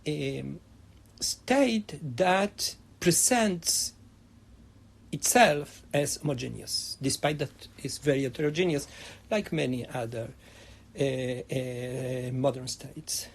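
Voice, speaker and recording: A man's voice: mid-range voice, takes it slowly, vocal fry, slight background noise. A middle-aged man from Argentina, a history professor answering interview questions in a hesitant way, with many fillers and restarts. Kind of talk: academic interview.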